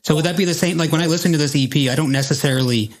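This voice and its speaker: A man's voice: fairly high pitch, very fast. A man hosting a casual interview, asking questions hesitantly and rephrasing them as he goes. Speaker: podcast host.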